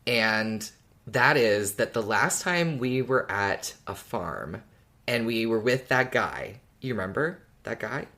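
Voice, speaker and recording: A podcast panelist with a middling pitch talks casually as a fan. The delivery is reflective and a little hesitant, like someone reasoning out loud. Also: lively intonation, slow pace. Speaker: podcast co-host or guest discussing an audio drama.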